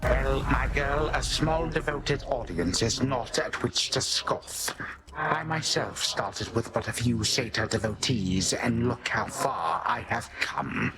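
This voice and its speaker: A man's voice: mid-range voice, slow pace, natural voice, low-quality recording. An actor playing an ancient titan tyrant in a video game, pompous and sardonic, speaking in formal archaic phrases with menacing grandfatherly condescension. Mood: wry condescension. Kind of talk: theatrical video game villain acting. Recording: studio voice over game music.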